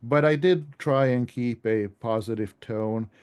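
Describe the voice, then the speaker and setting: Mellow-voiced man giving an informal talk. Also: mid-range voice, a little slow, clear, resonant tone. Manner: conversational, self-deprecating. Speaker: author giving a club presentation. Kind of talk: informal slide presentation.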